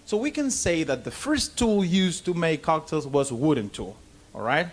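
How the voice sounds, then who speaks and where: Higher-voiced man with Argentine Spanish accent. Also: very animated. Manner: halting non-native English, frequent "okay?" check-ins. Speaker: bartender giving a seminar. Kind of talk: informal lecture to a live audience.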